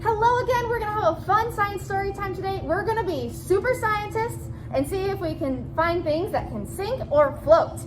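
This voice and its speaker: A woman's voice: extremely high pitch, lively intonation, moderate pace, hardly any pauses, noisy background. A woman co-hosting a children's science session in an informal, upbeat and encouraging way, explaining each step simply. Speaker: children's science demonstrator and co-host.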